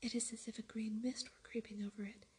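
A woman's voice: on the high side, flat delivery, fairly quick, dark tone, husky. A woman whispering the lines of an earnest, eager young girl in a storybook reading.

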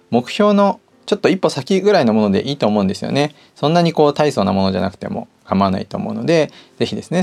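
A man's voice: medium pitch, creaky, darkish tone. A man hosting a podcast, talking casually and politely in a conversational way. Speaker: podcast host. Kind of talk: casual solo talk.

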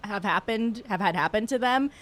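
A woman's voice: mid-range voice, normal speed, a bit bright. A woman guest on a podcast, talking casually and swearing freely. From Southern California. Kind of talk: casual chat.

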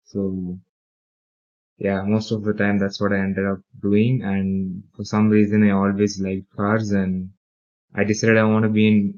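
A man's voice: lowish voice, monotone, measured pace, darkish tone. A young man from the UAE, talking casually about his life in an interview, hesitant with many fillers. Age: young adult. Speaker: podcast co-host and interviewee. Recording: dull lo-fi audio.

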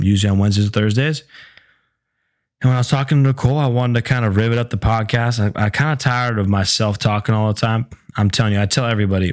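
Laid-back young man, hoarse throat. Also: lowish voice. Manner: laid-back, chatty, swears casually. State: sore throat, losing voice. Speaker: podcast host. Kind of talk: casual solo podcast chat.

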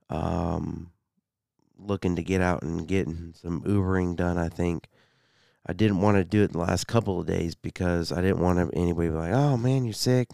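A man hosting a solo sports podcast, a bit congested, talking haltingly with many fillers. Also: low voice, gravelly, a little mellow, clean tone, no room sound, studio quality. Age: 30s. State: congested, slight cough. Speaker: podcast host.

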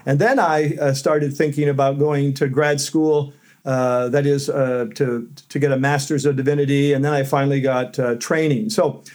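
A middle-aged man, a seminary professor, telling stories as a podcast guest in a loud voice with fillers. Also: medium pitch, fairly even intonation, moderate pace. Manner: loud voice, storytelling with fillers and light laughs. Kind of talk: conversational interview answers.

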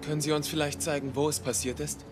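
A man's voice: fairly high pitch, flat delivery, fast talker, loud music behind the voice, lightly acted. A man acting an earnest, cautious younger brother who investigates, speaking seriously in a dubbed German TV drama. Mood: serious, inquiring.

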